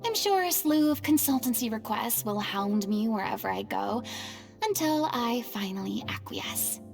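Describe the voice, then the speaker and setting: A woman's voice: a little high, lively intonation, moderate pace, creaky, soft background music. A woman playing a poised young stage star in a video game, gracious, articulate and a little grand. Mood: wry, resigned.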